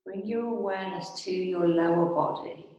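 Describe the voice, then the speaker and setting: A woman instructor guiding restorative yoga and meditation in a calm, soothing, unhurried manner with long pauses. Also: fairly low pitch, highs cut off. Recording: very echoey, reverberant room.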